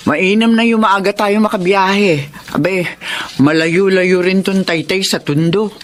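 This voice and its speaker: An actress playing a protective, plain-spoken middle-aged aunt, emphatic, in a Filipino radio drama. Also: female voice, medium pitch, unhurried, slight background noise, close to an everyday voice. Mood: practical, reflective.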